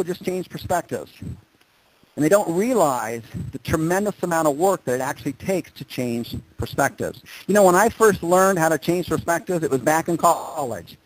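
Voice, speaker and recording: A man with a slightly high voice delivers a motivational presentation. He speaks emphatically, leaning on dramatic pauses and repeating key points as he moves between advice and personal storytelling. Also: poor audio. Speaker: motivational speaker presenting on a group conference call. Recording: conference call audio.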